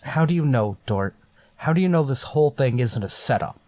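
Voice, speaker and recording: A man plays a starship captain from golden age radio sci-fi. He is stern and suspicious, pressing his points with authority in a mid-pitched voice, recorded close and dry. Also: lively intonation, brisk, warm tone, no room sound, natural voice. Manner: commanding, insistent.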